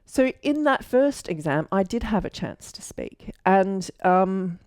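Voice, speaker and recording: A woman's voice: mid-range voice, lively intonation, slow, darkish tone, no echo, studio quality. An Australian woman in her forties, an architect, telling her story casually with many fillers and self-corrections. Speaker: architect and mentor.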